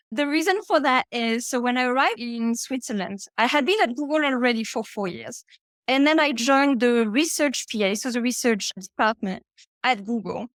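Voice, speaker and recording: A woman's voice: on the high side, no room sound. A woman in her thirties with a French accent, a podcast guest telling her story in an open, chatty way. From France. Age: 30s. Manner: chatty and open, with frequent fillers and asides. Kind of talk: casual conversational storytelling.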